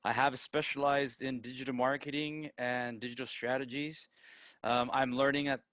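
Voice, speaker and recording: Male presenter, American accent, mid pitch, dry recording, informal, tags points with "right?". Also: fairly even intonation, moderate pace, fairly bright tone, no echo. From Peru, raised in the United States. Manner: frequently tags statements with "right?". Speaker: guest presenter, digital marketer.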